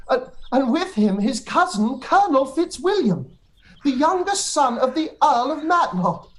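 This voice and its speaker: A woman playing a flustered, obsequious and excitable period character in a radio play, breathless and fussy. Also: sits high. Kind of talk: radio play live read.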